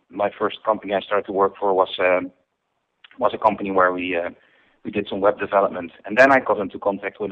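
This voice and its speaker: A man from the Netherlands, a company founder giving an interview. He speaks in a measured way with many ums and uhs. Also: lowish voice. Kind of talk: interview over the phone. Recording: tinny phone line.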